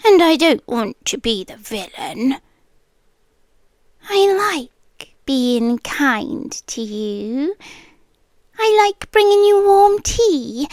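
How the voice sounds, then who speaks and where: A woman's voice: high voice, dry recording, clean studio sound. A British woman voicing an ancient, possessive siren captor, sweetly menacing, in an intimate roleplay ASMR monologue that often drops toward a whisper. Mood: coaxing, possessive. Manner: slow, coaxing, often dropping into whispers.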